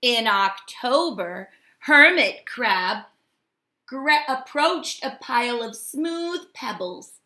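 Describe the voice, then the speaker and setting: A woman teacher reading a storybook aloud and talking to her students in an expressive, deliberate way. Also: on the high side. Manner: expressive, deliberate, addressing children directly.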